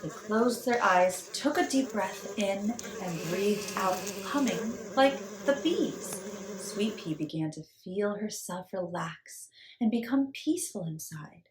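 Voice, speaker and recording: Woman, children's story reader, slow, very animated, theatrical emphasis, roomy echo. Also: medium pitch. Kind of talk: expressive scripted storytelling for children. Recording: roomy echo with background noise.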